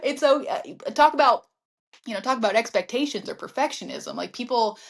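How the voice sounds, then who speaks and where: A woman's voice: a little high, animated, a little fast, creaky. A woman guest, a real estate agent and mindset coach, talking in an earnest, reflective way in casual interview chat. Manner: reflective, earnest, frequent "I mean" and "yep".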